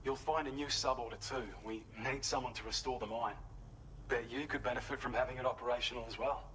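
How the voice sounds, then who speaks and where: An actor playing a calm male facility contact in a video game, speaking in a measured, formal, friendly way. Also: medium pitch, a little hiss or hum, natural voice, low-quality recording. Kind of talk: scripted game dialogue.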